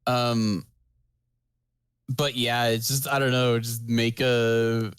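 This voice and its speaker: A man's voice: medium pitch, level tone, takes it slowly, fairly bright tone, smooth, no echo, clean studio sound. A man co-hosting a podcast, chatting casually in an understated, somewhat hesitant way. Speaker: podcast co-host. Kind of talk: casual chat.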